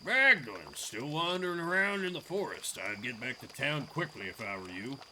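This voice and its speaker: A man's voice: medium pitch, big pitch swings, normal speed, background noise, lightly acted. A man voicing a grave, cautioning old man of the woods in a video game. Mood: grave warning. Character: old male charcoal burner, grave and cautioning.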